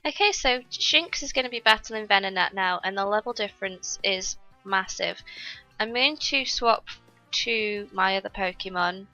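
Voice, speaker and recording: A woman's voice: mid-range voice, moderate pace, sharp tone, lo-fi sound, faint music behind. A young woman recovering from a cold, chatting through her gameplay and explaining each step to viewers. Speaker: gaming YouTuber. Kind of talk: casual gameplay commentary.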